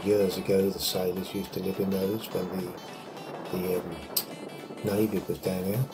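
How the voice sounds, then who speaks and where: A man's voice: low-pitched, flat delivery, measured pace. A man casually narrating a walking tour outdoors on a windy seaside path, rambling with many fillers into a camera mic. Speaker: vlogger walking tour guide. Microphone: handheld camera mic in wind. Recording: wind noise with background music.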